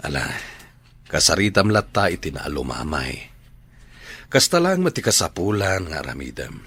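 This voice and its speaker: A patient elder brother in a Filipino radio drama, gently advising with a Filipino accent. His voice is low and husky, with expressive rises and falls. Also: normal speed, no echo, heavily acted. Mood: gentle, advising.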